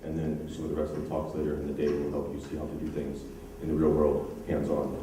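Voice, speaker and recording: Low-voiced American man in echoey hall. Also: quick pace. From United States. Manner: relaxed, chatty, frequent ums and uhs. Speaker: conference speaker. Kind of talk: technical conference talk. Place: large conference hall. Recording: echoey hall, distant mic, faint noise.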